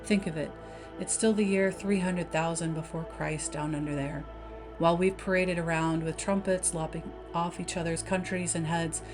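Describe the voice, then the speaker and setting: A woman voicing a brooding, talkative male lighthouse keeper, musing in a folksy way as part of a story reading. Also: low voice. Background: background music.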